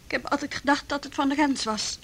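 A woman's voice: high voice, fairly even intonation, brisk, fairly bright tone, a little hiss or hum. An actress playing a polite, uneasy younger woman in a radio drama, hesitant and deferential.

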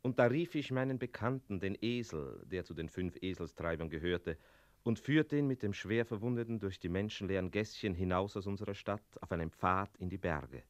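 An actor playing a wistful talking tomcat, narrating a radio play in a measured, musing way. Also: male voice, low voice, lively intonation, no echo. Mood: melancholy. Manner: measured, musing, punctuated with meows.

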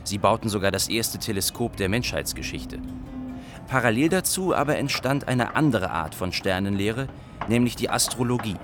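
A man playing a storyteller who recounts legends and history in an epic, measured radio drama narration. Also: on the low side, animated, over music, close to an everyday voice. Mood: solemn storytelling.

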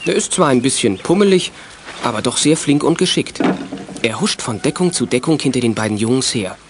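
A man's voice: mid-range voice, expressive, brisk, a little hiss or hum, lightly acted. A man narrating a children's detective radio play as its storyteller. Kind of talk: scripted narration.

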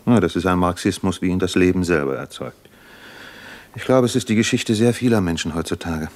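A man playing an educated, mild and wryly resigned gentleman in a 1950s radio play, courteous and measured. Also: deep voice, close to an everyday voice. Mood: polite, reflective.

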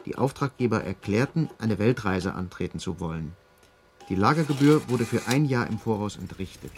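An actor playing a dutiful police assistant in a radio drama, brisk, formal and matter-of-fact. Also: male voice, on the low side, lively intonation, darkish tone. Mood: official, dry. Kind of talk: German radio drama acting, reading official reports.

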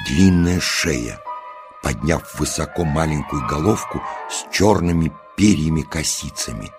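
Very deep, slow male storyteller. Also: vocal fry. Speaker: narrator. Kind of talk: scripted children's story narration. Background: music.

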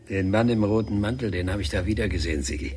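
A man playing a calm, fatherly older painter in a German radio drama, talking soothingly and colloquially. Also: fairly low pitch, a little fast, close to an everyday voice. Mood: gently knowing.

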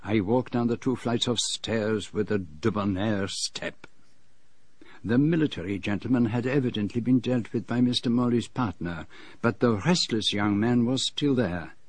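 A man playing a fussy detective who narrates his own story in a radio drama, with a measured, wryly dramatic manner. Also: lowish voice, no echo, lightly acted. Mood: relieved, wry.

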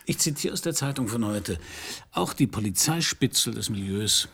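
An actor playing a blunt, world-weary detective with an anarchist past, narrating and acting gruffly in a German radio crime play. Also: male voice, fairly low pitch, expressive, moderate pace, a bit bright, full character voice. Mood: probing.

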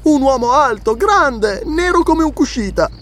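Storybook child role: timid, pleading little servant girl, high and swooping, performed by a man. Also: expressive, a little slow, a bit bright, a little hiss or hum, full character voice. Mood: fearful, awed. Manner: breathless stammering, pleading.

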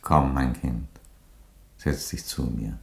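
An actor playing an aging Roman emperor in a radio play, an unhurried, wry father with a dry, sententious delivery. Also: extremely low pitch, gravelly, heavily acted. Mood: wry, earnest.

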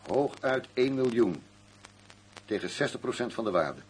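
An actor playing a shrewd, stubborn arms dealer in a crime radio drama, speaking in a curt, commanding and condescending manner. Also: male voice, mid-range voice, sing-song, normal speed, lightly acted, poor audio. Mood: hard, condescending. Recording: faint noise and hum.

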